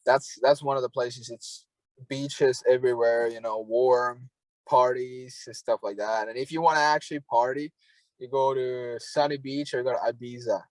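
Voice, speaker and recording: A man's voice: medium pitch, steady tone, normal speed, no echo, low-quality recording. A young Finnish man talking easily as a podcast guest, his English carrying a Finnish accent. He sounds laid-back and agreeable and leans on filler words. The recording is dry and close. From Finland. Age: young adult. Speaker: podcast guest, hockey prospect. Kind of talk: casual interview chat. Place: home in Finland.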